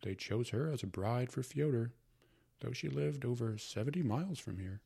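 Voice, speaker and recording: A man's voice: medium pitch, lively intonation, moderate pace, gravelly, mellow, husky, pitched above the performer's own voice. A man voicing a fretful middle-aged mother who fusses and sighs over household troubles. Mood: gentle. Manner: fussing, sighing, emphatic.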